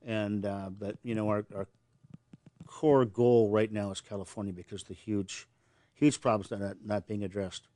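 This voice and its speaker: An older man from Montana talks in a lowish, steady voice. He answers with earnest conviction and often restarts with repeated words. The sound is close and dry, with no room echo. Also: moderate pace. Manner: earnest, repeats words when starting sentences. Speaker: veterans service officer. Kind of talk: interview answers.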